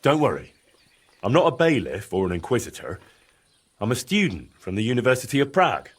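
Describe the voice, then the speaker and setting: A man's voice: medium pitch, very animated, fairly quick, breathy edge. An actor playing a cheeky, curious young man in a medieval game, talking in a casual, persuasive way. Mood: curious, coaxing. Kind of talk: dramatic game dialogue acting.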